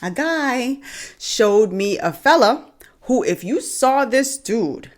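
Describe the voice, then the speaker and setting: Bahamian lady podcaster reacting to a clip, higher voice, swings up and down a lot. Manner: animated, exclaiming, drawn-out emphasis. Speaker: podcast host.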